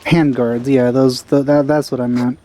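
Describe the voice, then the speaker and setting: A man's voice: mid-range voice, flat delivery, moderate pace, mellow. A man doing casual let's play commentary with dry, wry asides. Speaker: video game let's player. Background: game music.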